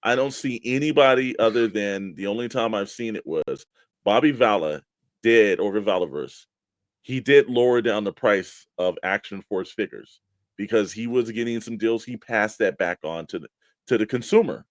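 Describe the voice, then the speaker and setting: A man's voice: fairly low pitch, moderate pace, no room sound. A man co-hosting a hobby show, talking casually and explaining his opinions at length. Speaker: co-host of a toy collecting show.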